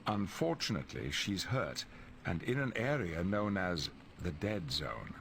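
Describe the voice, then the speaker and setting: A courteous formal professor leader for a game cutscene, low voice w/ lots of melody. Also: male voice, sing-song, unhurried, gravelly, fairly bright tone, slight background noise, heavily acted. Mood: grave, authoritative. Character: dignified, authoritative male professor leading a hero team. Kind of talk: video game cutscene voice acting.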